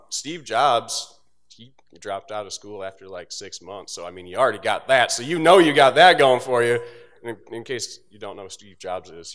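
A young man, an instructor, giving a casual, joking graduation speech from a podium microphone on an auditorium stage, with asides that drop low and quiet. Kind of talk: motivational commencement speech.

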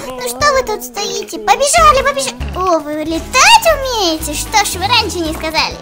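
A woman's voice: very high voice, expressive, normal speed. A woman hosting a children's let's play with childlike exclamations, voicing the game's characters as she comments. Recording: music behind the voice. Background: music.

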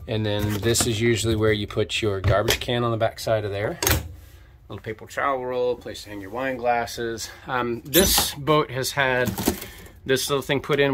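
A man in his fifties gives an easygoing, enthusiastic tour of a small boat cabin, dropping plenty of "uh"s. His voice sits in an ordinary middle range. It is picked up by a handheld camera held at arm's length, with a light background hiss. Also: mid-range voice. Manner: relaxed, enthusiastic, filler-heavy. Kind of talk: casual walkthrough tour. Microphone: handheld camera mic at arm's length.

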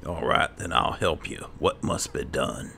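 Very deep, gravelly man gaming. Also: animated, measured pace, sharp tone. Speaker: gaming video host, player. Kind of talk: casual in-character game roleplay commentary. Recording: clear game ambience noise behind. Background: video game ambience.

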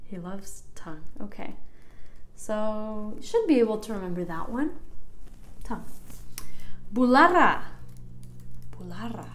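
A woman's voice: mid-range voice, sing-song, a little slow, long pauses. A young American woman in her twenties, vlogging casually from her living room. She chats in a self-deprecating way, with lots of "like" and laughter. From United States. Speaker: vlogger learning Basque. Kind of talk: casual chatty vlog. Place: living room at home, indoors.

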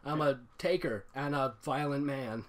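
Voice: medium pitch, a little slow. A giggly fan fiction reader hosting a comedic reading, mocking the story and often breaking into laughter. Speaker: fanfic reader and voice actor, host.

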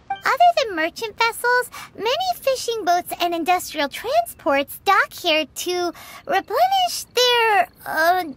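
A woman's voice: very high voice, fairly bright tone, a little hiss or hum, heavily acted. A woman voicing a tiny, chatty floating fairy girl companion in a video game, bubbly and talking about herself in the third person. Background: game music.

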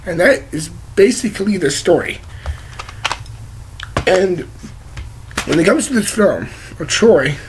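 Sick young man with a high, croaky voice. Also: a little hiss or hum, poor audio. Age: young adult. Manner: rambling, gesturing a lot, blunt and swearing. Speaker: movie reviewer. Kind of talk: casual movie review vlog. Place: living room with leather couch, indoors. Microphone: webcam at desk distance.

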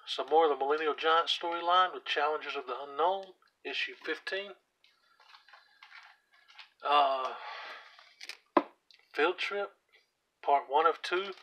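A hoarse man casually walking through his comic book collection, reading out titles with offhand asides while he sits on the floor at home. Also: fairly high pitch, sharp tone, slight background noise, low-quality recording. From US South. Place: indoors at home, seated on the floor by a shelf. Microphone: camera aimed at the shelf, speaker off screen.

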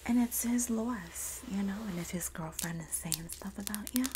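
A woman playing a sassy, supportive Jersey girl in a soft-spoken, whispered ASMR roleplay, with a New Jersey accent and a gossipy, soothing manner. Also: medium pitch, background noise, close to an everyday voice. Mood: soothing, reassuring. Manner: gossipy, flattering, soothing, drawn-out delivery. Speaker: ASMR performer.

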